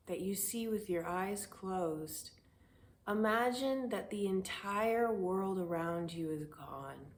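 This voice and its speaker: A woman leading a spiritual guided meditation, speaking in a soothing, deliberate way with pauses between phrases. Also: on the low side. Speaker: guided meditation and prayer host.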